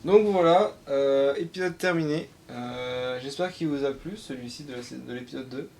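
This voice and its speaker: Guy rambling thru a casual review, kinda hesitant, normal pitch, bit of room noise. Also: normal speed, darkish tone. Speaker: co-host of a video review. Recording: faint background noise.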